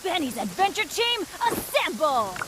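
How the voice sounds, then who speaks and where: A woman's voice: high voice, sing-song, moderate pace. A woman voicing an earnest, upbeat but unlucky young male adventurer in a video game. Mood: rallying. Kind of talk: video game character dialogue. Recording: clear rain and thunder noise behind voice.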